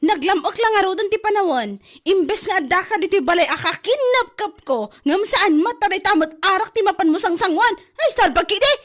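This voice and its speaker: A woman's voice: lively intonation, brisk, fairly bright tone. A woman with an Ilocano accent voicing a nagging woman who scolds a drunkard. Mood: scolding. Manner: scolding, shrill.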